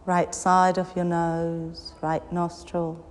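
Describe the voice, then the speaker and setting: A woman guiding a yoga nidra meditation, giving soothing, unhurried instructions with long pauses between short phrases. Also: on the low side, little pitch movement. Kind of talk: guided meditation. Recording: long reverberant hall, background noise.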